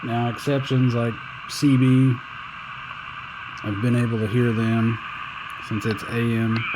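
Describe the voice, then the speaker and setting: A man speaks at a slow, unhurried pace in a medium-pitched voice, with clear noise in the recording. He is plainspoken and folksy, phrasing things haltingly as he reviews gear casually. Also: flat delivery. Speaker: amateur radio hobbyist reviewer. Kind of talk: casual hands-on product review. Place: indoors.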